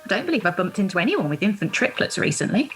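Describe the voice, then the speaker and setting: A woman's voice: medium pitch, very animated, fast talker, a bit bright, soft background music. A woman playing a timid, polite, easily shocked woman with a prim, apologetic manner in an amateur radio play.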